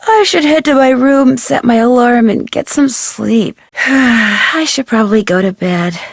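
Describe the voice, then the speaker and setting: A woman's voice: fairly high pitch, a little slow, creaky, dry recording, clean studio sound. A woman playing a curious, plucky young detective who thinks aloud in scripted adventure game lines. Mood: weary. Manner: inquisitive, self-talking. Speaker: video game voice actor.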